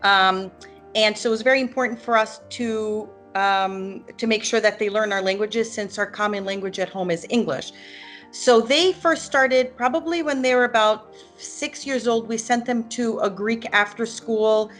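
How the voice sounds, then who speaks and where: A woman in her forties from Hungary, a parent speaking unscripted in a testimonial, with many pauses, ums and restarts. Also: fairly high pitch, fairly bright tone, soft background music.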